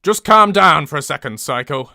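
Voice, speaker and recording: A performer voicing a gruff, blunt male centaur with a Northern English accent for a story. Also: mid-range voice, lively intonation, drawn-out words, no room sound, studio quality, full character voice. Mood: gruff, exasperated. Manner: gruff, forceful.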